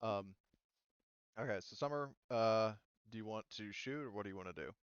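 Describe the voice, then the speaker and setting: A man running a tabletop roleplaying game as game master, talking casually and a little haltingly as he narrates. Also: lowish voice, smooth, dry recording, highs cut off.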